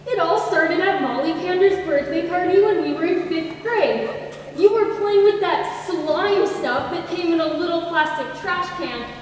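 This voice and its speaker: A young woman acting an uptight, aggrieved wife nagging her husband in a school stage play. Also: extremely high pitch. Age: teen or young adult. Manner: scolding, indignant. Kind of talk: high school one-act stage play. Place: large indoor hall, stage performance. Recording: distant, echoey hall recording with hiss.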